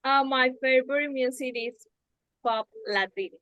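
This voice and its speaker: A woman's voice: sits high, a little slow, pushed and bright, no echo, dull audio. A woman from Ecuador, a native Spanish speaker with an Ecuadorian accent, answering hesitantly as an English student. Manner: hesitant, searching for words.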